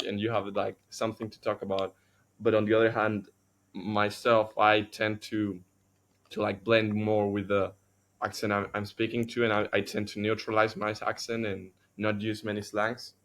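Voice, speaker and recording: A man from Chile, a native Spanish speaker, telling a story on a podcast in a halting way with many restarts and fillers. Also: lowish voice, fairly bright tone. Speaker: podcast co-host. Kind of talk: casual storytelling.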